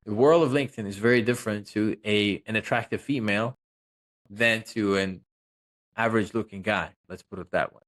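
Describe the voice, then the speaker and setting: A man's voice: on the low side, fairly even intonation, brisk, fairly bright tone. A man from Russia, a guest consultant, talking loosely and jokily in an interview, with many fillers. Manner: loose, joking, self-promoting, many fillers. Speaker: guest, social selling consultant. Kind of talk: casual interview talk.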